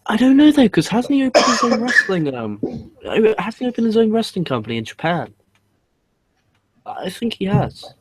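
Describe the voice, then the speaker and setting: A man co-hosting a podcast in casual chat, his voice hoarse and starting to give out. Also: high voice, big pitch swings, moderate pace, very noisy. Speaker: podcast co-host.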